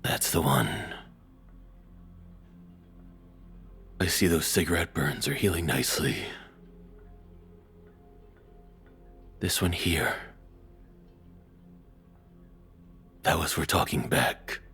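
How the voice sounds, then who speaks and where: Male actor as cruel possessive ex-boyfriend, extremely low voice, taunting, domineering, intimate roleplay monologue, music underneath. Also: a bit bright. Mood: quiet menace. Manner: taunting, domineering, slow deliberate menace. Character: controlling, cruel, menacing abusive ex-boyfriend.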